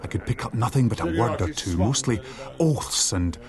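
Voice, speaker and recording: An actor playing a man who recounts his boyhood, narrating a dramatised audiobook in a sombre, measured way. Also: on the low side, lively intonation, a loud crowd, natural voice. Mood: measured, tense.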